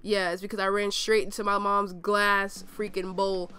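A woman's voice: medium pitch, flat delivery, moderate pace, sharp tone. A young adult woman with a nasal, congested voice from a cold, rambling casually through gameplay commentary with slang and swearing. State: sick with a cold. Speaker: gaming video creator.

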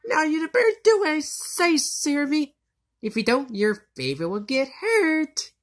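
A woman's voice: high-pitched, big pitch swings, measured pace. A woman voicing a gloating, taunting villain witch in an amateur anime fandub, mocking and haughty. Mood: threatening.

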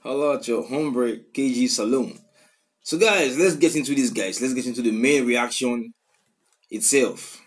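A man's voice: mid-range voice, measured pace. A man in his twenties with a Nigerian accent, giving casual commentary on songs and repeating lyrics in slangy talk. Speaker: reaction video host. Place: small room at home, indoors. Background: music playing.

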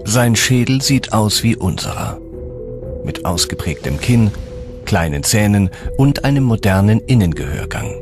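A man's voice: lowish voice, big pitch swings, unhurried. A man reading scripted documentary narration as the narrator. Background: background music.

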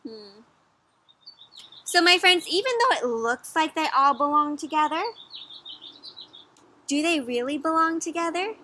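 A young woman reading a picture book aloud to children outdoors, pausing to ask them questions in a teacherly way. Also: high voice, slight background noise. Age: young adult. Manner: animated teacherly questioning, addressing children as friends. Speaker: children's story reader. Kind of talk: interactive picture-book read-aloud for kids. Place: outdoors in a garden or yard.

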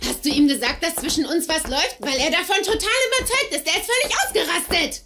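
A woman's voice: extremely high pitch, big pitch swings, fast talker, sharp tone, slight background noise, heavily acted. An actress playing a small, hot-tempered young woman in a dubbed sitcom. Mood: furious.

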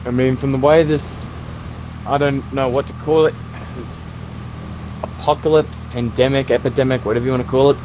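A man playing a calm, practical zombie apocalypse survivor in an improvised game roleplay, talking in an encouraging, instructive way. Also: mid-range voice, normal speed, background noise, lightly acted. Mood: reassuring.

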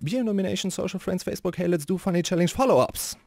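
Comic internet video narrator, acted by a man, high pitch, dark tone, clipped rattled-off delivery. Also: fast, vocal fry, dry recording, studio quality. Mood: brisk, comic. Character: funny high-voiced fast-talking internet video narrator. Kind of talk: comic voice-over.

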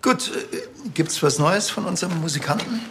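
A provoking, dry police detective in a crime comedy drama. The voice is a bit high and creaky, with lively swings in pitch. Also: male voice, moderate pace, background noise. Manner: casual, dry, colloquial.

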